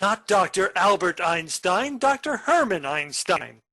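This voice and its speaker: A menacing criminal nephew in a radio stage comedy, acted by a man. He broods theatrically and turns mock-sweet, with pitch that swings widely from phrase to phrase. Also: big pitch swings, a little slow, sharp tone, heavily acted. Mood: insistent.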